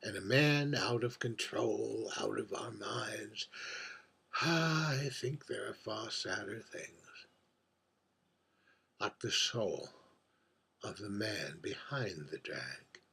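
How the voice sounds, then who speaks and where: A man playing a world-weary, wry drag performer in a theatrical monologue, confiding and deliberate, moving between hushed murmurs and emphatic phrases. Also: lowish voice, vocal fry, slightly rough, heavily acted. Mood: melancholy, philosophical. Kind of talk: theatrical dramatic monologue read by its author.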